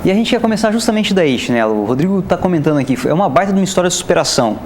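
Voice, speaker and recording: A friendly man, co-hosting a talk show. His voice sits a little higher than the others, with easy agreeable remarks. A faint noise runs behind him. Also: expressive, racing. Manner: friendly, agreeable, short interjections. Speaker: co-host. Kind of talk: casual talk show chat.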